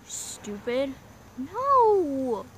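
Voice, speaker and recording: A woman's voice: sits high, wildly swooping pitch, extremely slow, a bit bright, slight background noise, exaggerated voice. A woman voicing a jumpy male plumber plush who complains and scares easily. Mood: whiny. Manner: whiny, easily spooked.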